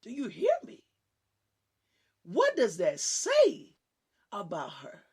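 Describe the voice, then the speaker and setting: A woman with a raspy voice that people on the phone mistake for a man's, preaching with fervour as host of her show and swinging from loud exclamations to hushed asides. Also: wildly swooping pitch, slow. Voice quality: raspy, deep-sounding voice often taken for a man's on the phone. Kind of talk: impassioned preaching on a talk show.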